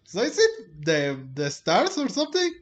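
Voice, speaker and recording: A man giving casual game commentary as he plays, rambling and halting as he talks. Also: high voice, wildly swooping pitch, a little hiss or hum. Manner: rambling, halting, frequent chuckles. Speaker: gaming streamer playing a story quest.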